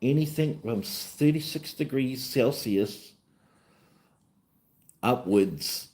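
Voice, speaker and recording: A man's voice: mid-range voice, animated, slow, darkish tone. A man with a New Zealand accent hosting a casual livestream chat in an easygoing, rambling way, often repeating himself. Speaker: livestream host and vlogger.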